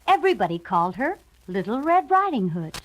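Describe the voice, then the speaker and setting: A woman's voice: a little high, very animated, a little fast, poor audio. A woman reading scripted narration for a children's read-along storybook.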